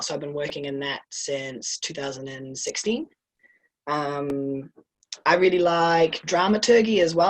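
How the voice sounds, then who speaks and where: A man giving a relaxed, casual introduction of himself on a video call, with frequent ums. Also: a little high, rough recording. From United States. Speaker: actor and director.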